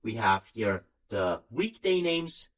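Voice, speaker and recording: A man whose first language is German walks through a software tutorial step by step, stopping now and then to correct himself. His pitch rises and falls a lot as he explains. The voice sounds set back from the microphone. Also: big pitch swings, takes it slowly, far from the mic. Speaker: software instructor. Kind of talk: screen-recorded software tutorial.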